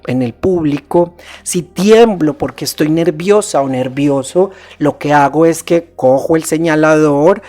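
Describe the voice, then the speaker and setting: A man, a psychologist hosting his own show, talking gently and steadily with some hesitations and restarts. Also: fairly high pitch. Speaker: psychologist host. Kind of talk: calm advice talk.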